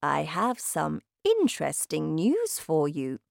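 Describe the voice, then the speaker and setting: A woman voicing a calm, sly old grandmother in a children's storybook, speaking in a measured, soft, knowing way. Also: low voice, creaky, no room sound, studio quality, exaggerated voice. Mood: calm, reassuring.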